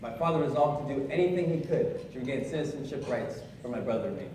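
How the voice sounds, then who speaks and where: An actor playing a young Japanese-American sergeant earnestly recounting camp life in a live 1940s-style radio play. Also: male voice, mid-range voice, expressive, quick pace, a little mellow, natural voice. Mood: sober, earnest. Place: theatre stage, live performance. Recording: roomy stage recording, distant mic, noisy.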